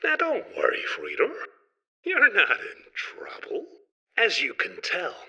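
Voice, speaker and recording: Villain boss, high raspy voice, piercingly sharp, theatrical, menacing, audio drama. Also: male voice, highs cut off, exaggerated voice. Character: megalomaniac male villain boss, smug and murderous. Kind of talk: fantastical audio play acting.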